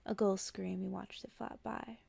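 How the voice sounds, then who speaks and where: A woman doing a soft-spoken ASMR story reading, hushed and unhurried, often slipping toward a whisper. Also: medium pitch, level tone, gravelly, slightly rough, no echo. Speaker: ASMR reader and host.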